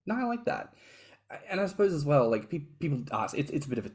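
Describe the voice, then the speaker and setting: A man's voice: a little high, very animated, darkish tone. Chatty, joking male podcast host. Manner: chatty, joking, quick to tease, frequent restarts. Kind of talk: casual podcast conversation.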